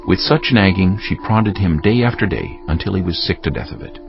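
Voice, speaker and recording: A man's voice: low-pitched, animated, fairly quick, gravelly. A man narrating scripture as a solemn, dramatic story. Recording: dull band-limited audio over music.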